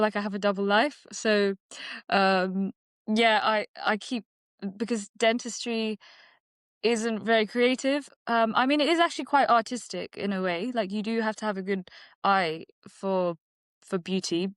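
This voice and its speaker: A woman's voice: medium pitch, clear, resonant tone, dry recording, studio quality. A woman, a guest in a podcast interview, answering in a reflective way with many fillers and restarts. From United Kingdom. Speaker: guest, dentist and singer-songwriter. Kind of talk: conversational interview.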